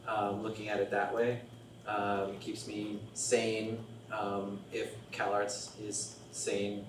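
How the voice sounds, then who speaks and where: A soft-spoken man speaking on a panel, hesitant and stammering, with self-deprecating humor. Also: on the low side. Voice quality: quiet voice. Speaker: panelist, software designer. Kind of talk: panel discussion. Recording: echoey hall, distant mic, noise.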